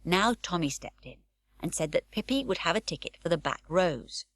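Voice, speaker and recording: A woman narrating an unabridged children's audiobook, with expressive, varied storytelling. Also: fairly low pitch, moderate pace, dry recording.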